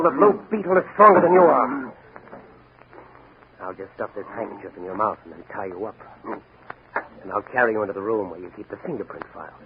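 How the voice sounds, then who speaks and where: A man playing a tough, confident young masked crimefighter in a 1940s radio drama, American accent, commanding and wry. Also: lowish voice. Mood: wry, bold. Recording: crackly, narrow-band 1940 radio recording.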